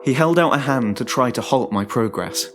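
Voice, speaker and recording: A man giving a dramatic, suspenseful first-person narration of a horror story, in a deliberate manner. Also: mid-range voice, lively intonation. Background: music.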